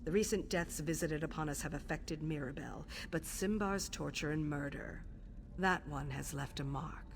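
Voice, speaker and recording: A woman voicing a cold, stern assassin matron in a fantasy game, speaking in measured, commanding phrases. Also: low voice, vocal fry, slight background noise. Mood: cold, grave.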